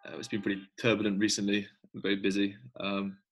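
Measured young man, lowish voice. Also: completely flat delivery, low-quality recording. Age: young adult. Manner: measured, hesitant. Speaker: guest catching up with friends. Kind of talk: casual chat.

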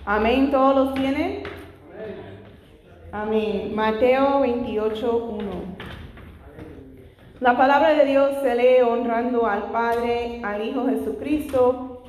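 A woman's voice: fairly high pitch, fairly even intonation, slow pace, long pauses, darkish tone. A woman whose first language is not Spanish, leading a church Bible study, asking the congregation questions and breaking in often with praise. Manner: frequent "Gloria al Señor" interjections, asks the congregation questions. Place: church hall. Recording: echoey hall with long reverb.

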